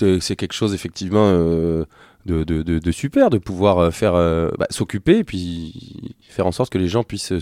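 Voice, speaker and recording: A man hosting a local radio talk show in the studio, speaking haltingly with many fillers and repeated words. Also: lowish voice, mellow, no room sound. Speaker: radio host.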